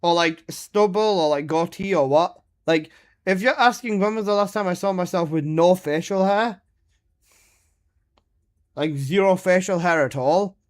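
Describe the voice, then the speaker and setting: A man in his early twenties chats live with his stream audience while he plays. His talk is casual, teasing and full of swearing and quick laughs. His voice sits slightly on the high side for a man. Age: 20s. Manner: joking, swearing banter with frequent laughs. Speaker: game streamer.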